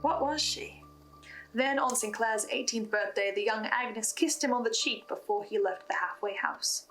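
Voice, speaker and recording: Female recap host, higher voice, scripted storytelling with personal asides, faint music underneath. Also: moderate pace, fairly bright tone, rough recording. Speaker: host of a recap and theory video on a horror podcast.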